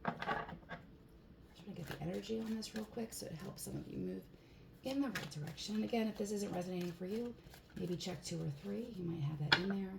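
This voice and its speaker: A woman with a hoarse voice giving a casual tarot card reading in a relaxed, chatty way, with plenty of fillers. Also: mid-range voice, fast. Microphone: phone. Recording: echoey room, faint background hiss.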